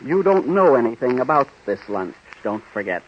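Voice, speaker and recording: A man's voice: mid-range voice, very animated, normal speed, natural voice. An actor playing a sardonic, disillusioned studio man with a dry, wry manner in 1930s radio comedy. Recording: dull, noisy 1930s radio recording.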